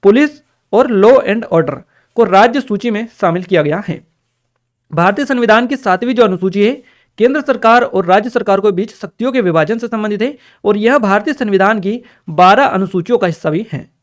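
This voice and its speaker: A man's voice: high-pitched, normal speed. A man explains exam questions as a coaching teacher, repeating key terms and reminders in an instructional way. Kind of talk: explanatory exam-paper lecture to camera or screen.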